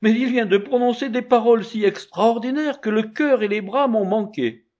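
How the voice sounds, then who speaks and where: A man's voice: a little high, very animated, moderate pace, darkish tone, slightly rough, voice pushed up. A man voicing a frightened young woman accused of a crime, reading her lines in a pleading, tearful manner. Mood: shaken.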